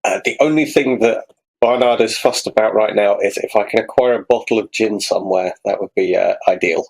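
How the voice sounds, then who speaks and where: A man with a gravelly, husky voice chatting casually as a player in a tabletop game. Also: lowish voice, fairly quick, low-quality recording.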